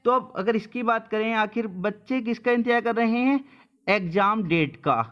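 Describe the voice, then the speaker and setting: A man's voice: sits high, moderate pace, fairly bright tone, clear, resonant tone. A man giving an informal update to students as a coaching teacher, blunt and colloquial, often checking they follow with short tags.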